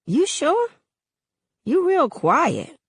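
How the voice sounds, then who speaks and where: Woman voicing a high, gossipy, bold teenage girl. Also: drawn-out words, dry recording, studio quality, full character voice. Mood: concerned. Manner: slangy, animated storytelling.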